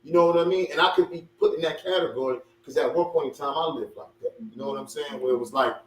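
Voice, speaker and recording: A man in his forties with a mixed Philadelphia and Baltimore accent, co-hosting a casual podcast chat, animated and joking. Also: on the high side, fast. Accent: Philadelphia and Baltimore mix. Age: 40s. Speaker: podcast co-host. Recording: roomy echo, far from mic, faint hum.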